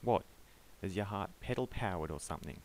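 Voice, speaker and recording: A man reads a fed-up, sneering driver in an audiobook, a deep voice nudged higher. The lines come out scornful and biting. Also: animated, fairly quick, a little hiss or hum. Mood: angry. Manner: scornful, sarcastic. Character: gruff, sarcastic angry driver, middle-aged man.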